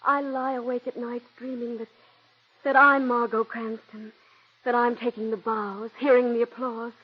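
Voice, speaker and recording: An actress voicing a young, stage-struck female stenographer who is adoring and eager, in 1940s radio acting style with a breathy, gushing way of talking. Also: a little high, flat delivery, moderate pace. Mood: pleading. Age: young adult. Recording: dull 1940s radio broadcast.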